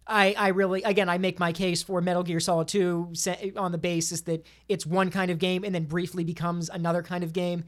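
A woman's voice: fairly low pitch, flat delivery, fairly quick, few pauses. Woman in casual podcast discussion. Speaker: podcast panelist.

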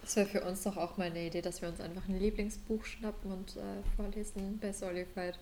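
A woman co-hosting a casual pop fan podcast, often pausing mid-sentence to find her words. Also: medium pitch, flat delivery, warm tone. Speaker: podcast co-host. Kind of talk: casual fan chat about pop music. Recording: noticeable background noise.

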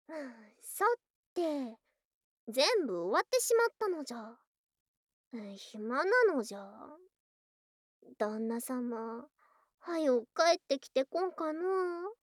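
An actress playing a proud, excitable, affectionate young female lamia wife in a voice drama, with archaic, haughty speech that easily gets flustered. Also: sits high, dry recording, studio quality, full character voice. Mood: content, musing. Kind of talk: Japanese situational ASMR voice drama.

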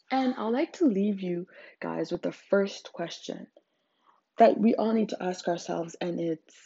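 Zimbabwean woman, podcast host, husky voice, dry close recording. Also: fairly low pitch, dark tone, dry recording, lo-fi sound. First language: not English. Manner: earnest and emphatic, frequent fillers. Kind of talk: solo podcast monologue.

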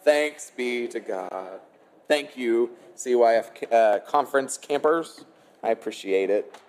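A man's voice: mid-range voice, slow, a little mellow, slight background noise. A man speaking as a pastor, leading prayer and preaching to his congregation. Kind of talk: church prayer and sermon.

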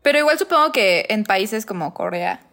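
A young woman with a Mexican Spanish accent, co-hosting a podcast in casual chat, chiming in often with quick agreeing interjections. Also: mid-range voice. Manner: quick affirming interjections, relaxed conversational back-and-forth. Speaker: podcast co-host.

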